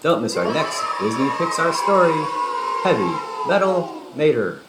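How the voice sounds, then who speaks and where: A man narrating a children's storybook aloud in an expressive read-aloud style. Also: on the high side, a little slow, very noisy. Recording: roomy, echoing sound.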